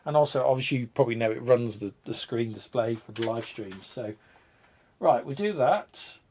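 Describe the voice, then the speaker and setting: A man's voice: medium pitch, lively intonation, moderate pace, mellow, a little hiss or hum. Congested man chatting on a live stream. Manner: rambling, self-deprecating, thinks aloud while tinkering. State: congested from a cold, coughing. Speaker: retro computer channel host. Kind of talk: casual live stream chat.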